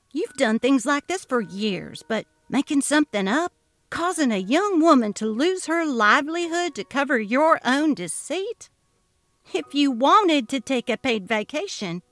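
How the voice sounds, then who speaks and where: A woman's voice: high-pitched, fairly bright tone, no echo, pitched above the performer's own voice, heavily acted. A woman voicing a saddened male colleague in a story reading, disappointed and emphatic as he reproaches someone.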